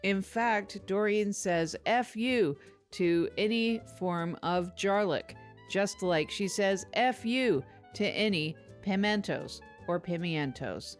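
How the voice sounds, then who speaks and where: A woman's voice: mid-range voice, takes it slowly, soft background music. A woman co-hosting a casual comedy podcast with dry, teasing banter. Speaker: podcast co-host.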